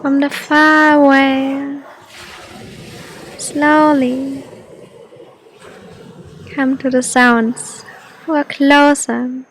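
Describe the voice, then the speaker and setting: Female meditation guide, high soft voice, extremely unhurried delivery, faint ambient noise behind her. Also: little pitch movement, rough recording. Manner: soft, gentle, soothing, with long pauses.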